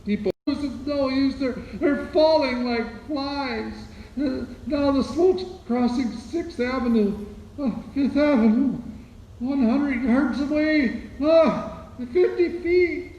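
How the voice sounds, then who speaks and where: A man playing a solemn, increasingly desperate radio announcer giving halting, dramatic eyewitness reports in a 1930s radio drama style. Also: very high-pitched, a little mellow, highs cut off, full character voice. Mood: rising panic. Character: male radio announcer describing invasion, solemn, growing desperate. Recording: echoey room with noise.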